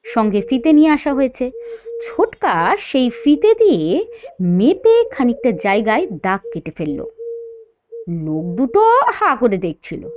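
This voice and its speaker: Female storyteller, high pitch, animated intonation, dramatised reading, dull recording, faint noise. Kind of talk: dramatised scripted story narration.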